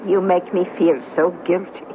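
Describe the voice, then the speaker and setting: A woman's voice: on the low side, level tone, normal speed, phone-quality sound, natural voice. An actress playing a kindly, trusting old lady in a 1970s radio drama, fluttery, hesitant and earnest. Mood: confiding, earnest. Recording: thin, noisy old radio broadcast.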